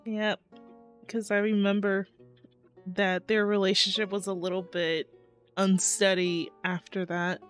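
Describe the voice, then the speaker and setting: A woman's voice: mid-range voice, flat delivery, a little slow, faint music behind. A woman streamer reacting to a game story with giggly, gushing comments.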